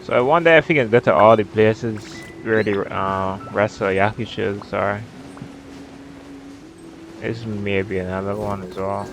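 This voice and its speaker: A man's voice: on the low side, measured pace, noisy background. A man giving casual commentary as he plays a video game. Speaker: gaming video host. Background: game music.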